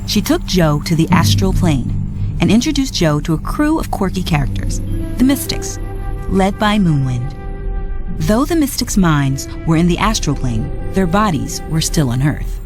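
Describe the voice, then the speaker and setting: A woman narrating a storybook read-along in a lively, expressive way, with soft music behind. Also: fairly low pitch, a little slow.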